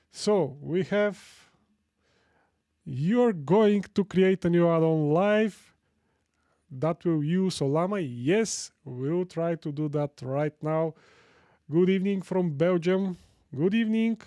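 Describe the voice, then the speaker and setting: Slow-paced Bulgarian man live-streaming casually. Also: fairly high pitch, mellow, dry recording, clean studio sound. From Sofia, Bulgaria. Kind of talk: casual live tech tutorial, chatting with viewers.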